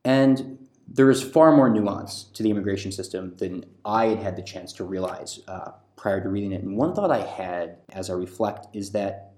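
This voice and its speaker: A man's voice: fairly low pitch, normal speed, a little mellow, roomy sound. A man hosting a podcast interview, polished and complimentary toward his guest. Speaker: podcast host, editor.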